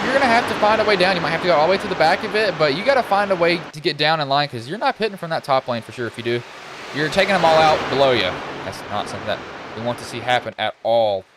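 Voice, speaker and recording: Casual young man calling sim races. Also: background noise. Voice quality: slightly deep voice. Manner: casual, rambling, excitable at crashes. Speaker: race commentator. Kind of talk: live sim racing play-by-play.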